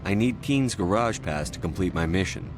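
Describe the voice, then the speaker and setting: A man playing a calm, matter-of-fact military commander in a video game, direct and dry. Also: sits low, normal speed. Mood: dry, probing. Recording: voice under loud background music.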